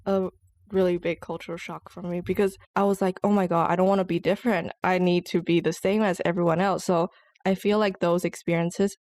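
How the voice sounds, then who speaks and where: A woman's voice: on the low side, flat delivery, a little fast, darkish tone, no echo. A young woman co-hosting a podcast, telling stories in a casual chat and filling her talk with "like". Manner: chatty storyteller, many "like" fillers. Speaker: podcast co-host.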